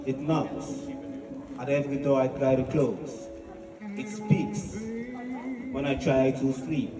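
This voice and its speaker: Male monologist, solemn declamatory oratory, measured phrasing; roomy, slightly distant recording over music. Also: mid-range voice, lively intonation. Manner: solemn, deliberate, declamatory with pauses. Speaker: spoken word monologist. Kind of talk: poetic dramatic monologue, oratory. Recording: echoey, distant voice with music behind.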